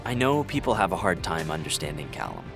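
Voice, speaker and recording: A man's voice: deep voice, wildly swooping pitch, quick pace, gravelly, over loud music, full character voice. A man playing a protective cast member in a scripted interview, conversational and sympathetic.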